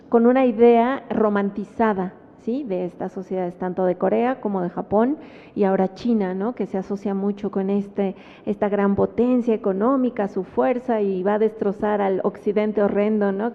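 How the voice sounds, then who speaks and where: A Mexican woman gives an academic talk at a panel, weighing her words with frequent pauses and tag questions. Her voice sits at a middle pitch. It comes through a thin, phone-like channel with a long reverb tail from a large hall. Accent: Mexican Spanish. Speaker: book presentation panelist. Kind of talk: academic talk at a book presentation.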